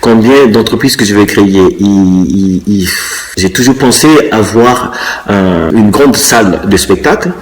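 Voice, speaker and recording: Low-voiced man in his fifties. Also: soft background music, low-quality recording. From Congo. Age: 50s. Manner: thoughtful, pausing often to search for words. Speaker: interviewee, dancer and choreographer. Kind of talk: casual interview answers.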